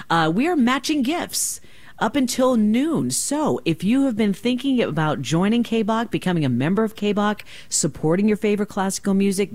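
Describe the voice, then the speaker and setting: A woman hosting a classical radio station, talking through a pledge drive in a chatty, upbeat way. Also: lowish voice. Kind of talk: on-air pledge drive talk. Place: radio studio.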